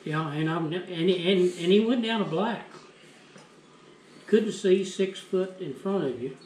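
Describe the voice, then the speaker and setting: Older dad, folksy rambling storyteller, slightly high voice, echoey kitchen with noise behind. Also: male voice, unhurried, a little mellow. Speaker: father telling family stories. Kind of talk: casual family storytelling. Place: home kitchen, indoors. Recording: roomy kitchen with background noise.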